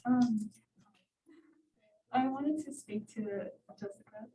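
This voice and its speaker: A woman's voice: on the high side, takes it slowly, mellow. A woman in her twenties from Ethiopia, a student asking a hesitant question from the floor at a university event. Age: 20s. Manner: hesitant, many fillers and restarts. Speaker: student audience member. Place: university lecture room. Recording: roomy hall, far from the mic.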